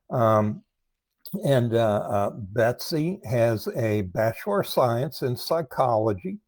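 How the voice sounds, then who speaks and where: A man hosting a casual livestream, talking informally and haltingly straight to viewers, with a voice strained by throat trouble. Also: mid-range voice, a little mellow, dry recording. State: struggling with throat and voice problems. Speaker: live stream host, recovery coach.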